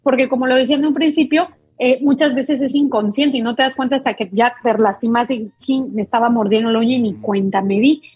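A woman's voice: on the high side, steady tone, a little fast, a little mellow, breathy edge, dry recording, lo-fi sound. A woman doctor, explaining a topic patiently as a guest on a talk show. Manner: explains patiently, with many fillers and restarts.

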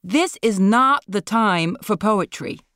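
A woman reading as an older glamorous film star in a literary story. The voice sits high and grand, with theatrical delivery, in a dry recording. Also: lively intonation, takes it slowly, fairly bright tone, clear, resonant tone, dry recording. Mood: shouting. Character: glamorous ageing actress, dramatic and grand.

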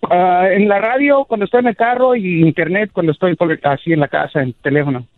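A man calling in to a radio show, adoring and halting, with many fillers and restarts. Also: high-pitched, dry recording. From United States. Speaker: radio caller. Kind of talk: phone call to a radio show. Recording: tinny phone line.

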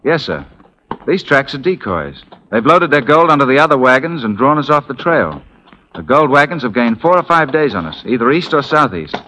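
An actor playing a bold, confident Union officer in the Old West, brisk and commanding, in 1940s radio style. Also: male voice, medium pitch, sing-song, sharp tone. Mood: assured, respectful. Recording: noisy 1940s radio broadcast.

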